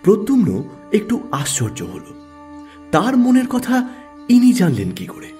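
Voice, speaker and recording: A man narrating a scripted mystery story as host, with measured, dramatic delivery. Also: high voice, wildly swooping pitch, warm tone. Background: background music.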